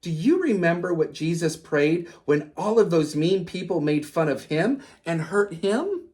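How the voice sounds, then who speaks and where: Woman voicing Salty, a slow-talking kindly storybook dad. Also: on the low side, animated, a little mellow. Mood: gentle, instructive. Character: Salty, kindly wise father figure teaching his child.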